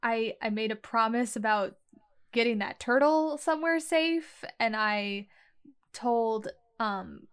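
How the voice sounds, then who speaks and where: Clear-voiced woman playing a tabletop game. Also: on the high side, slow pace. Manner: conversational, piecemeal phrasing. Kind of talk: improvised in-character description in first person.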